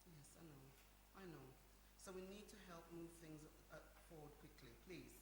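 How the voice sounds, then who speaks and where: A woman's voice: sits low, normal speed. Woman acting bossy elder sister, echoey hall. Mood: worried, pressing. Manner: insistent, exasperated, repeats herself. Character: worried, bossy grown-up sister organising her brothers. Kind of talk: live-performed radio play. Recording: echoey hall, faint noise.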